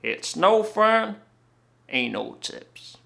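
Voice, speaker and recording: Stage monologue: male actor as exasperated working-class cabbie, folksy and emphatic, raised pitch, forceful tone. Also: very animated, very slow, creaky, heavily acted. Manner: folksy, emphatic, repeating words. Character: fed-up veteran cab driver, working-class, exasperated, loud.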